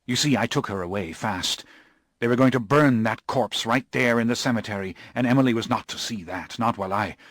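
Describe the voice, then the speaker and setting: A man voicing a desperate, drunken gentleman, pleading and bursting out in frantic, high-pitched cries. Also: a little fast, dry recording, clean studio sound, close to an everyday voice. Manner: pleading, rising to frantic outbursts.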